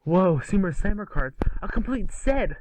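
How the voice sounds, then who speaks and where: A man's voice: high-pitched, lively intonation, moderate pace, darkish tone, slight background noise, poor audio. A man voicing a petulant, sarcastic young boy hero obsessed with his hobby, in an amateur cartoon table read. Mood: eager, defiant.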